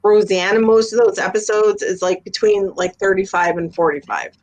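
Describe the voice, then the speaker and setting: A woman's voice: mid-range voice, steady tone, moderate pace, low-quality recording. A woman in her fifties chatting casually, telling everyday anecdotes in a talkative way. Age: 50s. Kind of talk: casual chat.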